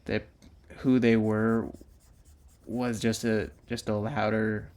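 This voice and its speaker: A man's voice: lowish voice, little pitch movement, takes it slowly, a little mellow. A young man talking casually while drawing for his own video channel, meandering with many fillers and restarts. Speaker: drawing YouTuber.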